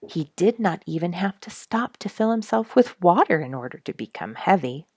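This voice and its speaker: A woman reading a children's story aloud as a storyteller, with expressive, dramatic emphasis and some hushed phrasing. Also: fairly low pitch, moderate pace, slightly rough, no echo, clean studio sound.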